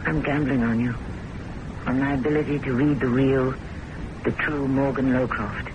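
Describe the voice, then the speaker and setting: A woman's voice: steady tone, normal speed, dull audio. An American woman acting an intense, possessive, romantically obsessed woman in a theatrical radio mystery drama. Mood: fervent, pleading. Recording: noisy old radio broadcast with rumble.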